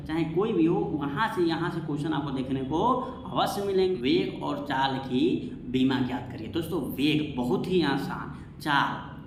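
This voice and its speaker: A man teaching an exam-prep science lesson, repeating himself and asking questions that he answers himself. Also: on the high side. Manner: repetitive, asks and answers own questions. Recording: echoey room, faint background noise.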